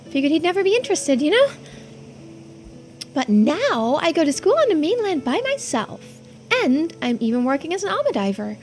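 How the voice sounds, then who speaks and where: Casting: woman playing animated teen girl for a video game, very high, swooping voice, casual and blurting, music behind. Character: excitable teenage girl diver with a secret crush. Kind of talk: video game character voice-over.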